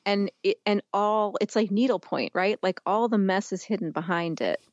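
A woman's voice: medium pitch, normal speed, no room sound, lo-fi sound. A woman writer chatting informally and with enthusiasm as an interview guest. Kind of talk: casual interview chat.

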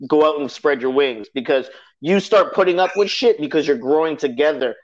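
A man's voice: fairly high pitch, animated, fairly quick. A man in his thirties talking emphatically in a slangy, casual way as a podcast guest. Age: 30s. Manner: emphatic, slangy, repeats "you know what I'm saying". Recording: dull lo-fi sound.

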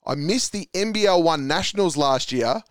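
Upbeat Australian male quiz host, smooth and crisp, dry close recording. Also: a little high, lively intonation, normal speed, no echo. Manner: upbeat, reads clues then calls answers. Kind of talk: hosting a podcast sports quiz.

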